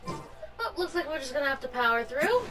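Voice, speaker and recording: A woman's voice: high voice, sing-song, a little fast. A woman streamer giving casual, understated, wry commentary while playing a video game. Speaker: gaming streamer. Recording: slightly distant voice under loud game music. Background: loud video game music and effects.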